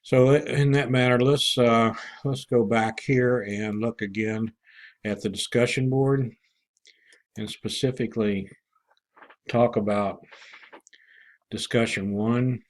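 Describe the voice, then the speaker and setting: A man giving an informal, halting walkthrough as an online course instructor, pausing often as he explains things to students. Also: medium pitch, fairly even intonation. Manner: halting, with many pauses and throat clearing.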